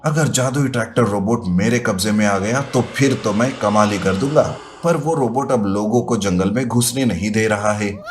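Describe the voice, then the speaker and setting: A man voicing a scheming, boastful scientist villain in an animated children's story. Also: on the low side, moderate pace, few pauses, a little hiss or hum, lightly acted. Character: gloating, scheming male mad scientist villain.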